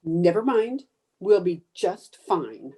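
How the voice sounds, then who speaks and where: Woman acting stubborn cheerful housewife, scatterbrained, old-time radio comedy, animated pitch, soft-edged mellow tone, emphatic. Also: slow pace, a little hiss or hum. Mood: blithely confident.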